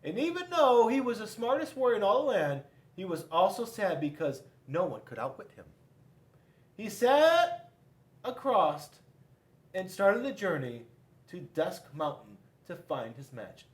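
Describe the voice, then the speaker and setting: A man reading a children's storybook aloud in an animated way, with dramatic pauses and sudden emphasis. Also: high-pitched. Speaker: police school resource officer reading to children. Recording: echoey room.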